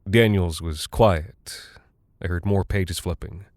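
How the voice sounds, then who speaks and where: Weary, low-voiced American military pilot. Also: male voice, darkish tone, no echo. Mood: uneasy, confiding. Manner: halting, confiding, colloquial. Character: weary, rattled male military cargo pilot, chatty and folksy. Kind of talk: first-person audio drama monologue, audio log.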